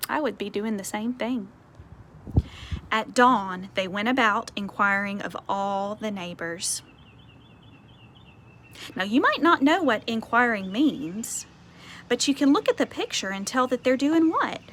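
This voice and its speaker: A woman reading a picture book aloud to children outdoors, expressive, with conversational explanatory asides. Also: fairly high pitch, measured pace, long pauses. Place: outdoors on a porch. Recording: faint outdoor ambient noise.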